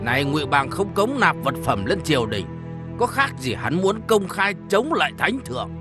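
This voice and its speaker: A sober village chief in a historical cartoon. His disapproving, deliberate speech is fairly high and lively, under loud music. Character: sober male village chief, judging a rebel.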